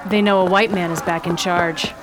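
A woman playing a rough-spoken, cheeky fellow passenger in a radio drama. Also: on the low side, fairly even intonation, moderate pace, music in the background, low-quality recording. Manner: brash, slangy and cheeky.

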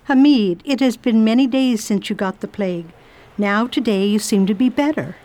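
A woman playing a brave, brisk lady traveler in a radio drama, with a commanding manner. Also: a little high, dark tone, lightly acted. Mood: reflective. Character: firm, brave lady traveler nursing a patient.

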